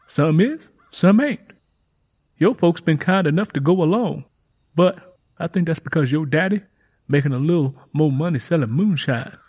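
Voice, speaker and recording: Guy doing a southern country girl for an audiobook, earnest n folksy, high husky voice, pleading kinda. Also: lively intonation, normal speed, a little mellow. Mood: earnest, chatty. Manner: folksy rural dialect, eager. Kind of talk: audiobook character voice.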